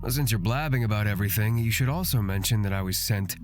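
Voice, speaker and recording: A woman voicing a gruff, blunt, weary man, a menacing hired killer in a fantasy visual novel. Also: very deep voice, fast, pitched below the performer's own voice. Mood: threatening. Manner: blunt, curt. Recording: loud music behind voice.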